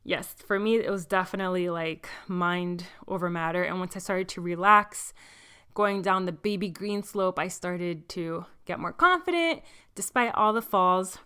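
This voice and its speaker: A woman's voice: lowish voice, normal speed, bright, forceful tone, clean tone. A woman hosting a podcast, reading guest intros and show promos in an upbeat, enthusiastic way. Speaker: podcast host.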